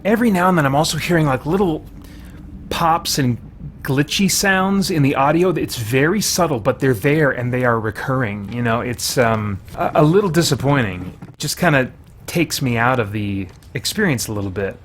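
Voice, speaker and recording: A man's voice: medium pitch, lively intonation, normal speed, a little hiss or hum. A man giving casual video game commentary as a reviewer, thinking aloud in informal language with mild exclamations.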